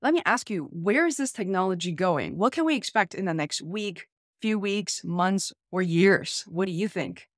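A woman's voice: lowish voice, animated, normal speed, no echo, studio quality. A woman in her thirties talking casually and chattily to camera, like a tech vlog host. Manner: chatty, informal. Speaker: host, tech content creator. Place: small room at home, indoors.

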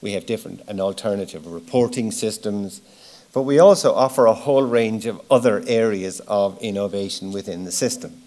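A man's voice: on the low side, a little fast, a little mellow. A middle-aged man giving an informal, explanatory keynote at a lectern, plain-spoken, stressing key words and letting phrase ends trail off. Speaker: keynote speaker. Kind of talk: conference presentation, informal and explanatory. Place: large wood-panelled auditorium, at a lectern. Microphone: lectern gooseneck microphone.

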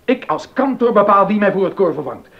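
An actor playing a stubborn, indignant composer and choir director in a docudrama, with an emphatic, commanding, formal delivery. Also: male voice, sits high, very animated, brisk, gravelly, heavily acted. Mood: indignant, defiant. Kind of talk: Dutch docudrama acting. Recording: dull, lo-fi sound.

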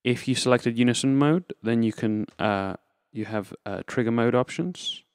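A man's voice: mid-range voice, moderate pace, smooth, dry recording, studio quality. A man giving an informal, unscripted tutorial, explaining things step by step as a presenter. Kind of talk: informal instructional demonstration.

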